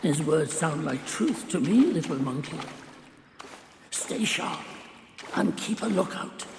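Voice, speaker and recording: A man playing a watchful elderly guide in a video game, giving cautious, deliberate counsel in a reverberant sound with music behind. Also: fairly high pitch, animated, gravelly, noisy background, heavily acted. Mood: wary, advising. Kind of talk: video game cutscene acting.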